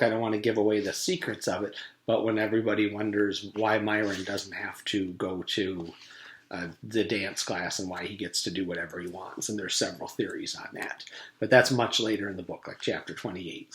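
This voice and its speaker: A man's voice: on the low side, a little hiss or hum. A man, a teacher, reading a children's storybook aloud to his students. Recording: echoey room.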